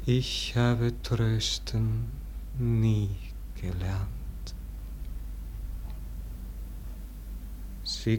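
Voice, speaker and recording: Tired regretful scholar in an old radio play, really slow theatrical verse, full of longing. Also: male voice, mid-range voice. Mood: wistful. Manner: declamatory, swinging from hushed murmur to ringing lament.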